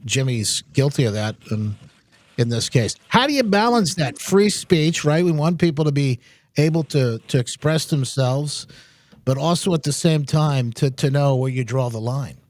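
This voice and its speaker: An American man hosts a political talk show in a conversational style. His voice is of average pitch, and he lays out questions in halting, deliberate fragments. The recording is dry and free of room echo. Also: animated, darkish tone. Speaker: interview host.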